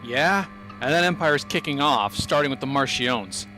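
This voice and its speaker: A man's voice: medium pitch, lively intonation, normal speed, natural voice. A man playing a blunt, questioning companion in an audio drama, casual and challenging. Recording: fan audio play under loud music.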